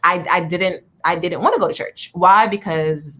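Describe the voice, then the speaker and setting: A woman's voice: on the low side, moderate pace, pushed and bright. A woman in her thirties from New York City, a radio interview guest telling her life story in an earnest, candid way with playful asides.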